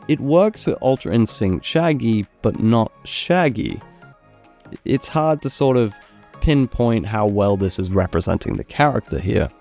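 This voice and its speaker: A man narrating scripted gaming ranking commentary in a measured, opinionated, critical way. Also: mid-range voice, warm tone. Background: background music.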